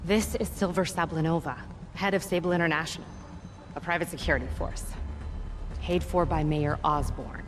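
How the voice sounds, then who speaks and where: An actress playing a curt, authoritative law enforcement woman in a video game, speaking briskly and tersely, with music behind her. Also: low-pitched, steady tone, lightly acted. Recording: music over the voice.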